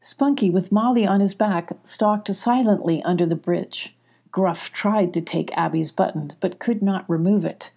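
An older woman narrates for children in a mellow, husky voice. She hosts her own story time and talks straight to the listener in simple, inviting phrases. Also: medium pitch, unhurried. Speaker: host and author reading a children's story.

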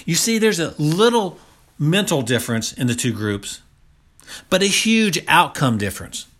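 A man's voice: fairly high pitch, sing-song, moderate pace, fairly bright tone. A man of about sixty giving a motivational health talk, speaking emphatically and repeating key phrases for stress. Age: around 60.

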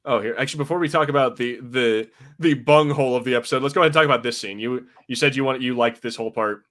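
A man's voice: mid-range voice, fast talker, fairly bright tone. Informal, jokey male podcast host. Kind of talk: casual chat.

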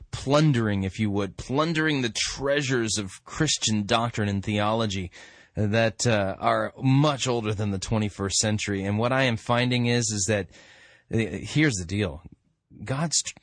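A man speaks as a radio host in a dry, close recording. His commentary is informal and slow, with drawn-out, theatrical emphasis. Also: on the low side, lively intonation, no echo.

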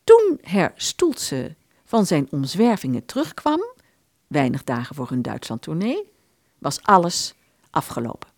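A woman narrating a literary radio play from a script in an expressive storytelling manner. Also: deep voice, unhurried, no echo, studio quality.

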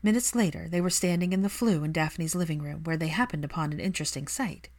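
Female audiobook narrator for fan fiction, slightly low in pitch, scripted prose reading. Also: fast talker, a little mellow.

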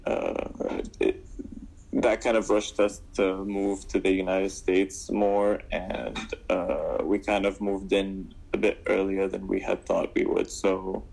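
Low, gravelly young man, halting. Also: a little mellow, poor audio. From Syria. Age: 20s. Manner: hesitant, many fillers and restarts. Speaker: guest. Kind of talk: casual interview answers.

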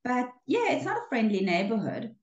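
A woman's voice: on the high side, wildly swooping pitch, moderate pace, soft background music. Older woman, roomy dull sound. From South Africa. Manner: warm storytelling with hesitations and restarts. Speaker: relationships coach, guest. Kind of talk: casual interview conversation.

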